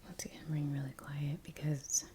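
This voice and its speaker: An American woman doing soft-spoken ASMR, chatting casually in a breathy near-whisper as she comments on her painting. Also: low voice, flat delivery, fairly quick. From United States. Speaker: ASMR creator. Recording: clear background noise, brush clicks.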